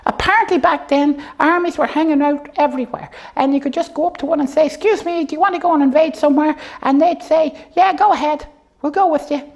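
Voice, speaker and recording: A woman's voice: high voice, quick pace, creaky, darkish tone. A woman voicing a bossy, childlike know-it-all puppet who rambles through muddled stories in an improvised comic show. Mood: confident, rambling.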